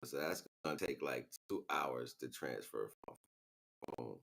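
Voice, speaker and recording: Male streamer, extremely low and creaky voice, loose unscripted delivery, rambling and slangy. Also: animated, measured pace, fairly bright tone. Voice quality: gravelly. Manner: rambling, slangy, muttering to himself. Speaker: live streamer. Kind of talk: casual unscripted livestream chat.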